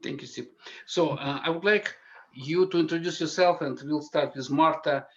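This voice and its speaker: A man's voice: a little high, normal speed, fairly bright tone, lo-fi sound. A man moderating a panel discussion, asking questions in a casual, informal way.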